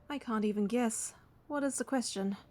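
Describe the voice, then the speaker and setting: A woman's voice: a little high, a little slow, darkish tone. A woman reading a video game's story text aloud, with casual asides as she plays.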